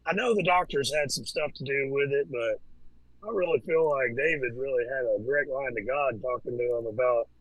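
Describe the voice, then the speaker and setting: A man talking as a guest in a casual conversation, relaxed and hesitant, with many fillers and restarts. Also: medium pitch, a little fast, dry recording, low-quality recording. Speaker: podcast guest, Freemason.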